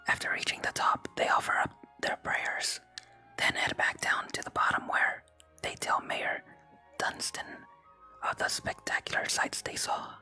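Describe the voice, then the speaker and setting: A soft, breathy, unhurried whisper narrating a video game let's play in ASMR style. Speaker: video game streamer, host. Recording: close whisper with game music behind. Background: game music.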